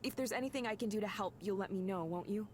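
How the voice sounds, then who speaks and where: A woman's voice: medium pitch, quick pace, a little mellow, natural voice. An actress playing a friendly, starstruck woman manager in a video game, chatty, earnest and reassuring. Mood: discreet, reassuring. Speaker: manager of counseling centers.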